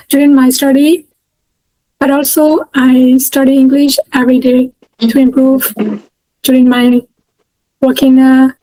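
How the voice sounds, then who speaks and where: A woman's voice: high voice, mellow, dry recording, rough recording. A woman in her thirties from Myanmar with a Burmese accent, answering interview questions hesitantly with many fillers and restarts over a video call. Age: 30s. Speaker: guest. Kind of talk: casual interview.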